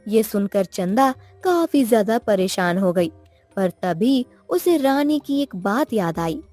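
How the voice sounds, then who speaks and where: A woman telling a scripted moral story as an expressive narrator. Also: mid-range voice, slow, no echo. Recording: music behind the voice.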